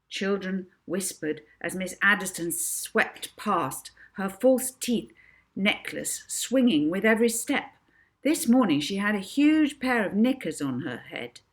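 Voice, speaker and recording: A woman's voice: mid-range voice, expressive, a little slow. A woman author narrating her own children's book, with casual asides to the listener.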